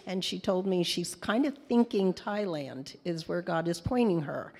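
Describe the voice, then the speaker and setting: A middle-aged or older woman speaks informally to a church congregation in a halting, unscripted way. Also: on the low side, vocal fry, darkish tone. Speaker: church member introducing a guest speaker. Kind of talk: informal spoken introduction and prayer. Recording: echoey hall with long reverb.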